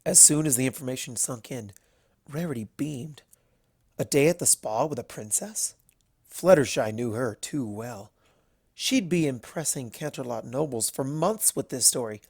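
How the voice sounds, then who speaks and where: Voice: mid-range voice, expressive, normal speed, darkish tone, slightly rough, no echo, studio quality. A narrator reading a scripted fantasy story aloud.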